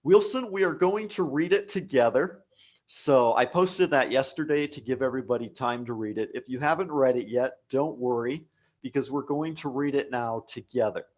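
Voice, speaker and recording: A man's voice: mid-range voice, moderate pace, darkish tone. An American man teaching a live English lesson online, speaking patiently and repeating key words for learners. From United States. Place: home office abroad.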